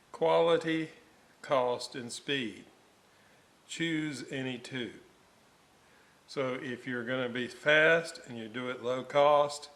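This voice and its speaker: An older man giving a casual hands-on workshop explanation, thinking aloud in a halting way as he hosts a restoration video. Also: medium pitch, a bit bright. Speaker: vintage radio restorer and video host. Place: indoor home workshop.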